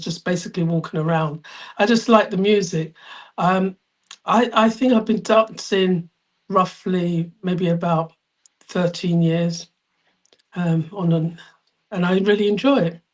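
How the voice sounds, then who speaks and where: A woman's voice: fairly low pitch, fairly even intonation, a little slow, poor audio. A woman talking casually about herself as a guest on a panel show. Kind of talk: casual self-introduction.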